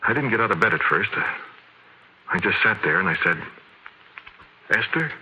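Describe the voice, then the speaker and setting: An actor playing a shaken, haunted man who confides a ghostly encounter in halting, emphatic phrases, in the style of old-time radio horror. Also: fairly low pitch, expressive, pushed and bright, husky, heavily acted, rough recording. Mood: uneasy, awed. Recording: vintage radio recording.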